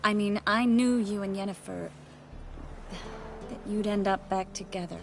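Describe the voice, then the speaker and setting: Woman acting as guarded proud sorceress, wry, conversational, medium pitch, game dialogue, music behind. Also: normal speed, gravelly, natural voice. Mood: hurt, resigned. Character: guarded, wounded but proud young sorceress.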